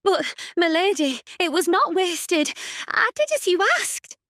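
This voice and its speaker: A woman's voice: animated, unhurried, a bit bright, dry recording, studio quality, heavily acted. A woman voicing a desperate, meek servant woman who pleads and protests weakly, in an audiobook drama. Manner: weak protesting, high-pitched.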